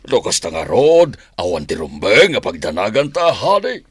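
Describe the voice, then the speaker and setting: A man's voice: on the low side, wildly swooping pitch, moderate pace, husky, dry recording, studio quality, exaggerated voice. A man playing a scheming husband plotting an escape, in a radio drama style. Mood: reassuring. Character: scheming husband planning escape with hidden money.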